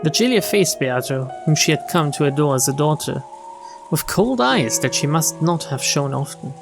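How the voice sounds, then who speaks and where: A man's voice: fairly high pitch, expressive, moderate pace, vocal fry. A man streaming a game, reading visual novel narration and dialogue aloud in a dramatic storytelling style. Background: game music.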